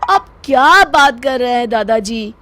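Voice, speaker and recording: A woman's voice: fairly high pitch, lively intonation, unhurried, over music. A woman voicing a cautious, earnest young man in a moral horror story.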